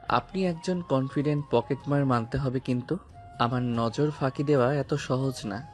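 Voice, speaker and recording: A mid-pitched young police officer played by a man speaks with cool confidence and restraint. The delivery is romantic audio-story acting, with light music behind it. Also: close to an everyday voice. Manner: cool, restrained, mixes in English phrases.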